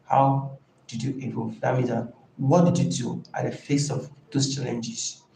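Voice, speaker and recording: A man gives an informal online lesson at a middling pitch. He explains in a halting, deliberate way and drives points home through repetition. The room is clearly echoey, with slight noise behind him. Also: little pitch movement, warm tone. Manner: emphatic, repeats key phrases. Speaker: branding coach leading an online class. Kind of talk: informal live teaching talk.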